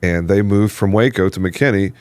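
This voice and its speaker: A man hosting a podcast from a studio, asking questions in a relaxed, joking way. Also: lowish voice, normal speed, clean tone, dry recording. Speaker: podcast host. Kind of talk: casual interview chat.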